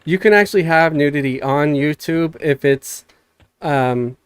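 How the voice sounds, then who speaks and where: A man hosting a live stream, chatting casually in a soft, calm way. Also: on the high side, a little slow, clear, resonant tone, dry recording. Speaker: streamer host. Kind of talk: casual chat.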